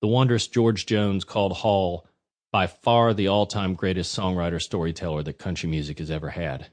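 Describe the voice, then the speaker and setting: A man's voice: sits low, fairly even intonation, moderate pace, darkish tone. A man narrating a nonfiction audiobook from a prepared script.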